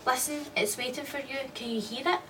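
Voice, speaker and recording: A woman puts on a sinister, falsely sweet woman for a radio play. She speaks in a high, bright, composed and teasing voice, a little away from the mic. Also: fairly even intonation, a little fast, far from the mic, slight background noise. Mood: cold, menacing. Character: sinister dream-double woman, coolly taunting, falsely sweet. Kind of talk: radio drama acting.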